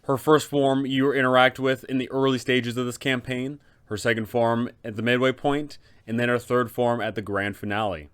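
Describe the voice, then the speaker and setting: A man with a clean, smooth voice at a middle pitch presents advice for tabletop game masters. His delivery is scripted and emphatic, built on deliberate phrases and rhetorical questions. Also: fairly even intonation, a bit bright. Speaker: host, game master advisor. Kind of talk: scripted explainer on running a tabletop campaign.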